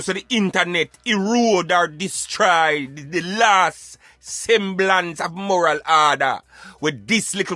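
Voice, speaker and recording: A Jamaican man hosting a casual talk show in patois, animated and emphatic as he addresses his listeners. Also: sits high, dry recording. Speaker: radio show host.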